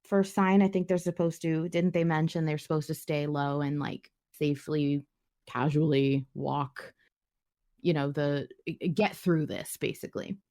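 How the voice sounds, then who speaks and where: Lower-voiced woman chatting casually. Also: steady tone, measured pace, warm tone. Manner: conversational, thinking aloud. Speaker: podcast host. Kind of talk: casual discussion of a story podcast, reading listener comments.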